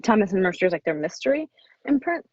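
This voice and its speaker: A woman speaking as an author guest in a casual interview, effusive and often halting and restarting her sentences. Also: medium pitch, sing-song, dry recording. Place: small cabin in the woods. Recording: dull lo-fi audio.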